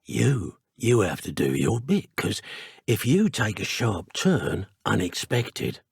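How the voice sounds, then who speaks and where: An actor voicing a cocky, sneering dark wizard thug for a video game, with a British accent and colloquial, taunting, menacing banter. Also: male voice, lowish voice, wildly swooping pitch, slow pace, gravelly, slightly rough, no echo, clean studio sound, exaggerated voice. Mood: musing, sly. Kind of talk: video game character voice clips.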